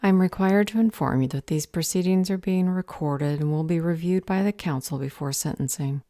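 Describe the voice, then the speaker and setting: Fantasy roleplay council judge, female actor: low, dark voice, stern, curt and officious. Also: normal speed, dry recording, studio quality, lightly acted. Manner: formal, officious, commanding. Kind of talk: audio roleplay fantasy drama.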